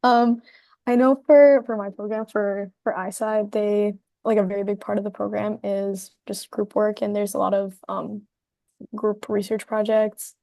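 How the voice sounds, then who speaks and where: A woman's voice: mid-range voice, little pitch movement, unhurried, gravelly, mellow, no echo. A young woman, a university student panelist, rambling casually with many fillers and restarts. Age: young adult.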